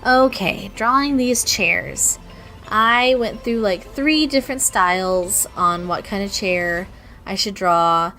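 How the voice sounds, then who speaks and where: Young woman, mid-pitched, raspy and stuffy from a cold, rambling casual voiceover with soft music behind. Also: slow. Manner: rambling, self-deprecating, lots of ums and restarts. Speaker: artist narrating her speedpaint.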